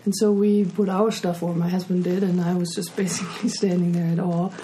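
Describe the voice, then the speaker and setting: A woman's voice: fairly low pitch, flat delivery, brisk, dark tone, slight background noise, rough recording. A woman from Germany with a German accent, casually telling personal stories as an interview guest and author.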